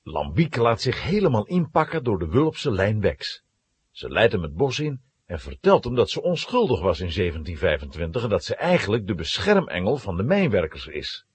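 Husky male storyteller, hugely animated. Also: fairly low pitch, normal speed. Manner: dramatic, expressive. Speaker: storyteller narrator. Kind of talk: scripted children's story narration.

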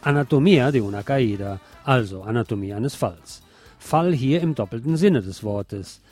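A man's voice: mid-range voice, expressive, normal speed, darkish tone, faint music behind. A man delivering a scripted film review as a critic recommending a movie to listeners.

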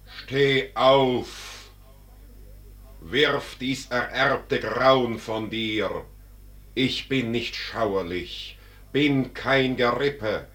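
An actor playing Death as a solemn, majestic spirit, declaiming verse in a stately, commanding manner in a classic German radio drama. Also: male voice, medium pitch, drawn-out words, fairly bright tone.

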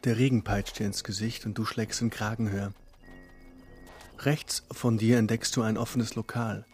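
A man's voice: fairly low pitch, steady tone, moderate pace, a little mellow. A man telling a radio play story in the second person, in flowing descriptive narration. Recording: faint music under the voice. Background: faint instrumental music.

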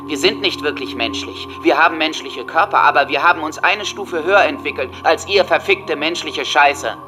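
An actor playing a hateful, megalomaniac teenage school shooter with intense, seething delivery in a documentary radio drama. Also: male voice, a little high, normal speed, over music, lightly acted. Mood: seething rage.

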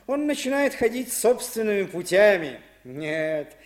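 A man's voice: high voice, sing-song, a little slow. A man playing a stern, snobbish, pompous father in a radio play. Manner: pompous, formal. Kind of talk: 1950s Soviet radio play acting.

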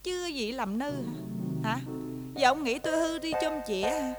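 A woman with a southern Vietnamese accent acting a sharp-tongued, exasperated wife in a comic domestic drama, sarcastic and full of folksy exclamations. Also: high-pitched, lively intonation, normal speed, loud music behind the voice. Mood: wheedling reproach. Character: sharp-tongued, exasperated card-gambling wife nagging her husband. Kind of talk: comedic stage-style domestic drama acting.

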